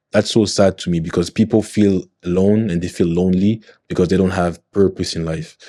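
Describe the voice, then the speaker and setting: Male guest, 20s, deep mellow voice, earnest motivational delivery, dry close sound. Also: dry recording. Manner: earnest, motivational, often checks in with "you know what I mean". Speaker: podcast guest. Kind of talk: casual podcast conversation.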